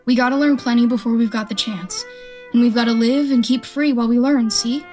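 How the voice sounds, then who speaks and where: A woman's voice: a little high, steady tone, brisk, a little mellow, soft background music, lightly acted. A woman playing a defiant, survival-minded militia stranger in a radio play, talking in a blunt, folksy, speechifying way. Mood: fierce, scornful. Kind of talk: radio drama acting.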